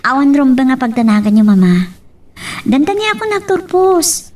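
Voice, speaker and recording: An actress playing an earnest, lovestruck young student who pleads and reassures as a daughter in an audio drama. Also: female voice, high-pitched, lively intonation, moderate pace, darkish tone, far from the mic. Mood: earnest reassurance. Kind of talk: Ilocano radio-style drama acting.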